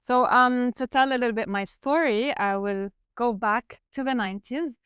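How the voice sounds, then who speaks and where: A woman in her thirties, a researcher from Lebanon, explaining her career in a measured way on a podcast. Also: a little high, a bit bright, clear, resonant tone, no room sound, studio quality. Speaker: guest researcher. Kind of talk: podcast interview.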